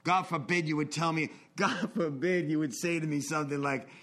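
A man's voice: a little high, steady tone, normal speed. Earnest man sharing a recovery story. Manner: earnest, confessional, swings between intense and hushed. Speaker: recovery speaker. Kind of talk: personal testimony talk.

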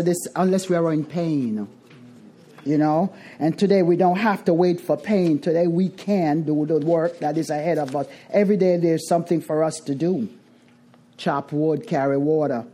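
A middle-aged woman with a Jamaican accent, speaking to a recovery group in a deliberate, emphatic way and repeating phrases for weight. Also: low-pitched, dark tone. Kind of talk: twelve-step recovery talk to a group.

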